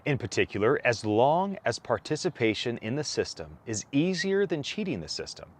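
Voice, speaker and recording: Male narrator for a read-aloud formal paper, mid-range voice, very varied intonation, deliberate enunciation. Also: medium pitch, sing-song, slight background noise.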